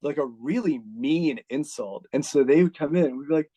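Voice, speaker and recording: An American man, a retired army officer, telling stories as an interview guest in a chatty, laid-back way, full of "like" and quick laughs. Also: on the high side, warm tone, slightly rough. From United States. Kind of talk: casual storytelling.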